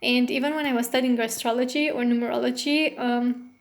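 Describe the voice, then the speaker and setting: A woman's voice: on the high side, fairly even intonation, brisk. A woman from Romania, an astrologer, talking casually in a rambling way with many fillers and non-native English phrasing. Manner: rambling, with frequent fillers and self-corrections. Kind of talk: casual personal monologue.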